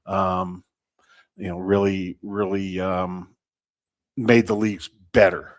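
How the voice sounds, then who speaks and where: Low-pitched man, halting interview talk. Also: level tone, dry recording, clean studio sound. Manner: measured, halting, often pausing mid-sentence. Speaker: baseball team representative, interview guest. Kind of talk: unscripted sports interview talk.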